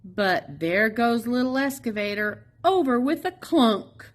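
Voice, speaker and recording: A woman reading a children's picture book aloud expressively, in a sing-song, drawn-out way with playful emphasis on sound words. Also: on the high side, animated. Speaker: storybook reader at a public library.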